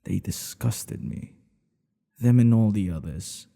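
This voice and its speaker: A man playing a tender, devoted king in an intimate ASMR roleplay, speaking in an earnest, hushed, halting way. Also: lowish voice, gravelly, long reverb tail. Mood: wistful, disdainful. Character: tender, devoted male monarch hiding a secret lover.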